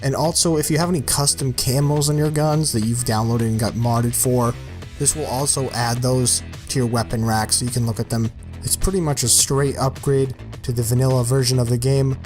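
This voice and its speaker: A man's voice: mid-range voice, fairly even intonation, moderate pace, darkish tone. A man hosting a casual gaming video, talking informally and in a rambling way as he presents and comments. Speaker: video host presenting game mods. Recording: music playing behind the voice. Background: music.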